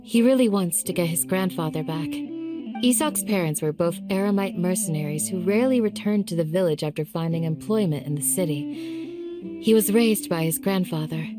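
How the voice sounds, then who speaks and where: A woman voicing a young village guardian in a game, calm, courteous and firm, with polite, measured phrasing. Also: lowish voice, over music, natural voice. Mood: calm narrating.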